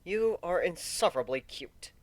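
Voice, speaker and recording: Man playing a wry, animated male pegasus. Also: high-pitched, normal speed, no echo, voice pushed up. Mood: wry, teasing. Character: wry, teasing adult male pegasus pony, fond.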